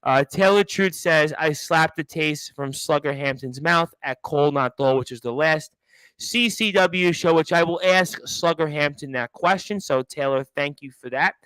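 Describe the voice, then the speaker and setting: A talkative man hosts a wrestling chat show, his voice sitting a little high for a man. He rambles and circles back on his questions. The sound is dry and close, with no room around it. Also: moderate pace, fairly bright tone, smooth, dry recording, low-quality recording. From Brooklyn, New York. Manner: chatty, rambling, repeats himself. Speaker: radio show host. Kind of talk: casual interview chat.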